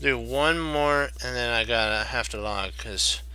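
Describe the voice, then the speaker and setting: Middle-aged male chess streamer, bright, slightly croaky voice, ponders moves aloud to chat. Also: mid-range voice, unhurried, low-quality recording. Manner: thinking aloud, addresses viewers as guys. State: voice getting croaky. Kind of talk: live chess puzzle commentary.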